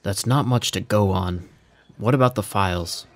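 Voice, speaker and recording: Understated, unflappable captain, male actor, slightly deep voice, quiet authority for a police radio play. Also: lightly acted. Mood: calm, probing. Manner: dry, understated, unhurried authority. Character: dry, steady male police captain in command. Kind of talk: audio drama acting.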